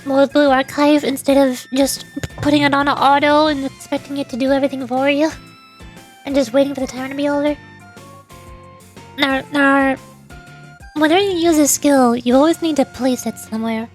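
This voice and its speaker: Female game streamer, high voice, casual off-the-cuff chat, music faintly behind. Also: steady tone, brisk, pauses often. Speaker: vtuber streamer.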